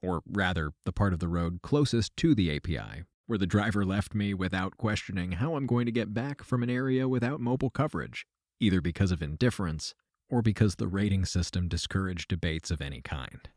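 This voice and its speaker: An actor playing a weary, regretful aging man, narrating his own story in a measured, reflective way for a fiction podcast. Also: low voice, animated, darkish tone, no echo, studio quality. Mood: wry, weary. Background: music.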